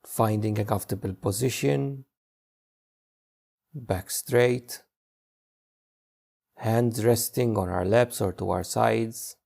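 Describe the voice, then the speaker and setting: Male meditation guide, gentle delivery, unhurried with long gaps, noticeable vocal fry, warm dark tone. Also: on the low side. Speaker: meditation teacher.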